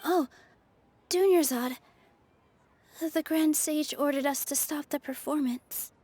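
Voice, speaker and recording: A woman's voice: sits high, slow, darkish tone. A woman voicing a sweet, caring young dancer in a video game, with a light, earnest delivery. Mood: apologetic, dismayed. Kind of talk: video game character dialogue.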